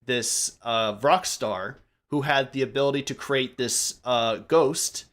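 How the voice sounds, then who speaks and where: A man chatting casually on a group podcast, telling stories in a halting, rambling way that keeps interrupting itself. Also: mid-range voice, a bit bright. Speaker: podcast member, amateur voice actor.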